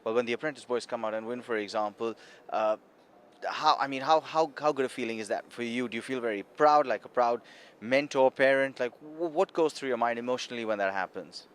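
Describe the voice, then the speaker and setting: A man's voice: medium pitch, fairly quick, smooth. Male interviewer stumbling through questions.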